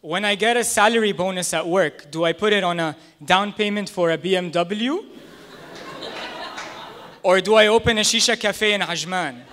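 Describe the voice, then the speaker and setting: Guy with a high voice doing stand-up into a handheld mic, chatty and self-mocking. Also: normal speed, fairly bright tone. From United States. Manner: conversational, self-deprecating storytelling. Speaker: stand-up comedian. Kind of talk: stand-up comedy set. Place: small comedy club stage, indoors. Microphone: handheld stage microphone.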